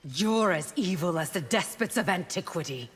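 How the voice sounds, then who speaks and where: An actress voicing a fierce, devout and loyal warrior bodyguard in a fighting game, speaking formally, proud and defiant. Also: female voice, fairly low pitch, animated, normal speed, breathy edge. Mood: defiant, taunting. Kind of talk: video game fight intro dialogue. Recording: reverberant hall, noisy game backdrop.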